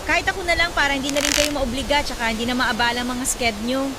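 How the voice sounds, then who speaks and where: A woman's voice: high-pitched, fairly even intonation, fast talker, heavy background noise, close to an everyday voice. A woman playing a caring, worried young adult daughter in a radio drama, speaking with respectful concern. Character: caring young adult daughter, band musician, worried about her mother. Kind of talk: Tagalog radio drama acting. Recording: loud music bed under the voice.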